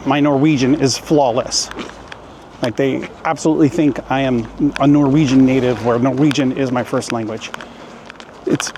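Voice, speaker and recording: A man chatting casually as a travel vlogger in a joking, playful way, filming outdoors and in shops on a handheld camera. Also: a little high. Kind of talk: casual vlog narration. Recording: outdoor street noise.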